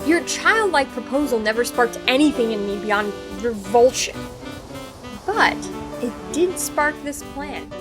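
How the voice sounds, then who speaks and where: A woman's voice: a little high, expressive, a little slow, poor audio. An actress playing a theatrical, imperious female supervillain in an audio drama, mocking and grandiose, savouring every taunt. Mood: scornful, taunting. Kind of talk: audio drama villain acting. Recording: music behind the voice.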